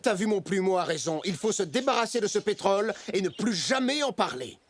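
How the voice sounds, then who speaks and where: A sly scheming cartoon dad. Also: male voice, high voice, very animated, brisk, no echo. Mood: sarcastic. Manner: sly, sarcastic. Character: scheming father, greedy and sly. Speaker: father.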